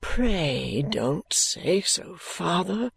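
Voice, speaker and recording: A reader voicing a meek, dutiful young man in an audiobook, pleading earnestly and submissively in a hushed way. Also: medium pitch, unhurried, breathy edge, no room sound. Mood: imploring, earnest. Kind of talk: audiobook character voice.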